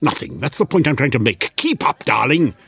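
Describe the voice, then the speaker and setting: An actor playing a sardonic, chatty devil in an audio drama, theatrical and mocking, with a husky voice. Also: male voice, medium pitch, sing-song, fairly quick, pushed and bright, heavily acted. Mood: bitter, mocking. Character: sardonic, wheedling male devil, casual and mocking.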